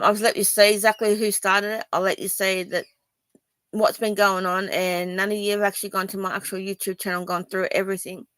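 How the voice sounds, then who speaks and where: A woman's voice: mid-range voice, bright, forceful tone, dry recording. An Australian woman from Sydney speaking to viewers in a personal video, rambling and defensive, with many ums and restarts. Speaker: vlogger.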